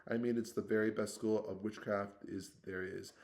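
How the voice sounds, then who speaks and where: A man voicing a bossy, know-it-all schoolgirl with a brisk, eager manner. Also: lowish voice, level tone, a little mellow, lightly acted. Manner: brisk, eager, talks over others.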